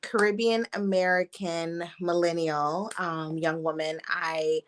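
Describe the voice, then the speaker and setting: A woman in her thirties, born in Trinidad and raised in Canada and the US, chatting lively as a podcast guest over a video call. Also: on the low side, takes it slowly, a little hiss or hum. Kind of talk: casual interview chat.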